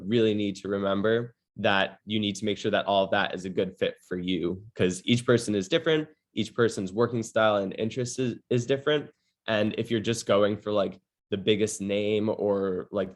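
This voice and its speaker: Low-voiced man hosting with many ums. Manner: halting, many ums and likes. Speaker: webinar moderator. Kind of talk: casual presentation.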